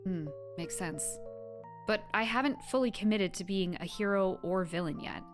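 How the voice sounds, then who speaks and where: A woman playing a guarded, softhearted young woman with superpowers in an audio drama, in a conversational, emotionally open manner. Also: mid-range voice, moderate pace, over music, close to an everyday voice. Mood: hesitant.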